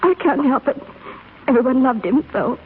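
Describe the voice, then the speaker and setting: A woman's voice: high voice, lively intonation, normal speed, a little hiss or hum. A woman playing a young, tender-hearted, grief-stricken nurse near tears in a 1930s radio drama. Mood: tearful. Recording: echoey, tinny 1930s radio broadcast.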